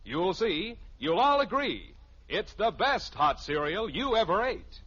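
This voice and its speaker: A man's voice: high voice, big pitch swings, measured pace, over music. An American man reading a scripted 1950s radio commercial. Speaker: commercial announcer. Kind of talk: 1950s radio advertising pitch. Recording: muffled old radio recording.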